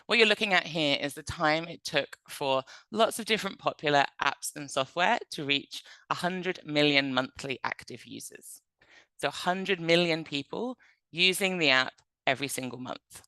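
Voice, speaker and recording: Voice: medium pitch, normal speed, bright, forceful tone, dry recording. A presenter from England, giving an informal webinar in a conversational, explanatory manner. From North Devon, England.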